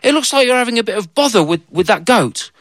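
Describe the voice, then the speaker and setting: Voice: medium pitch, animated, quick pace, a bit bright, no room sound, clean studio sound, voice pushed up, lightly acted. A storyteller voicing a courteous, slightly boastful retired soldier. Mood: proud. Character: retired soldier, proud gentleman.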